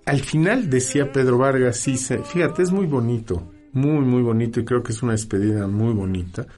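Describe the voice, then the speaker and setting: Bright-voiced man chatting as a guest. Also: mid-range voice, moderate pace, soft background music. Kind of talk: conversational radio talk, recounting quotes.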